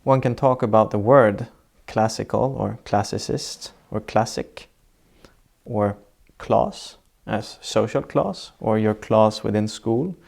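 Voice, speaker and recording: A man with a Swedish accent, a Swedish native speaker, answering interview questions as an architect in a measured, reflective way, laying out ideas step by step. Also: lowish voice, creaky, darkish tone. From Sweden. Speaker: architect guest. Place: sitting indoors in Norway.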